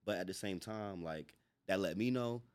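A man from North Carolina, a guest on a podcast, talking in a relaxed, slangy way with lots of "like". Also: deep voice, normal speed. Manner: laid-back slangy, says "like" often. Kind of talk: casual chat.

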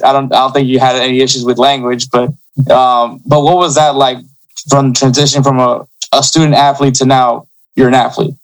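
A man's voice: medium pitch, poor audio. A man hosting a casual interview, easygoing and chuckling between his questions. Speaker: podcast host.

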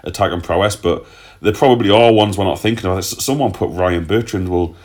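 Man, low voice, podcast host, casual football talk, blunt and jokey. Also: fairly quick, darkish tone.

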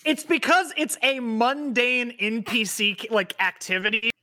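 A man's voice: very high voice, lively intonation, a little slow, pushed and bright. A man on a casual podcast who rambles through his points with lots of filler words. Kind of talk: casual chat.

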